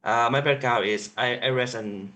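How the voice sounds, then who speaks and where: A young man from Thailand with a Thai accent, giving an online presentation as a sound artist, halting with many fillers and restarts. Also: medium pitch, bright, forceful tone.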